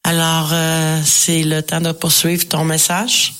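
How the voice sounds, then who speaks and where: A woman's voice: deep voice, completely flat delivery, takes it slowly, a bit bright, clean tone. A woman hosting a programme, giving announcements and introducing her guest. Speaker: radio show host. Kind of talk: show presenting, announcements.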